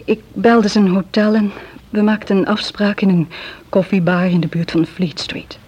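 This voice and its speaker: Husky, hesitant woman confessing , played by an actress. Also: mid-range voice, steady tone, darkish tone, lightly acted. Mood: subdued, explaining. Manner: hesitant, halting confession with dramatic pauses. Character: anxious drug-addicted young woman confessing a criminal scheme. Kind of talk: radio drama acting in Dutch. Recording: muffled, lo-fi old radio play recording.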